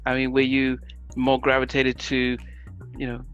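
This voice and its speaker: A man hosting an interview, asking questions in a halting way with repeated words. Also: mid-range voice, completely flat delivery, a bit bright, clear, resonant tone. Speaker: interviewer host. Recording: dull lo-fi audio with faint music.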